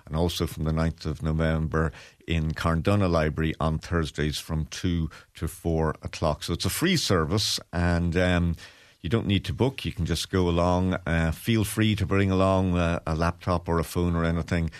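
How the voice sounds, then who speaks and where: A man presenting a radio business segment, reading event details in a steady, informative way with frequent pauses. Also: deep voice, no room sound. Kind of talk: radio chat, reading news items. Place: radio studio.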